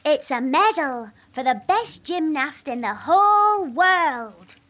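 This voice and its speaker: A woman's voice: high voice, expressive, slow, a bit bright, exaggerated voice. A woman voicing a childlike, eager and headstrong little princess in a cartoon. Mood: proud, playful. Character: excitable, headstrong little princess, a young girl.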